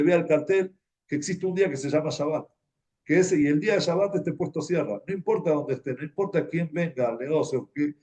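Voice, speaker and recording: A man with an Argentine Spanish accent giving an informal religious talk, telling anecdotes in a halting, conversational way and repeating words as he goes. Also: on the high side, warm tone. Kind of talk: informal sermon, anecdotal storytelling.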